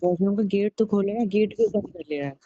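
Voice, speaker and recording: A man's voice: sits high, fast, mellow, slight background noise, close to an everyday voice. A man playing a young husband in a casual couple skit, coaxing and chiding his wife in a repetitive way. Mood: concerned. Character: concerned young husband, soothing yet scolding his wife. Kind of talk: acted phone-recording style couple skit in casual speech. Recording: dull, lo-fi audio.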